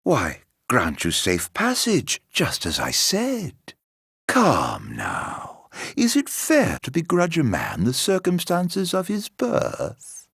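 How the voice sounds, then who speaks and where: A sly video game villain who speaks in slow, florid drawls. His pitch swings dramatically from low to high, and every phrase is steeped in theatrical, teasing courtesy. The sound is dry and close. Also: huge pitch swings, gravelly, fairly bright tone, breathy edge, no echo, exaggerated voice. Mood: smugly reassuring.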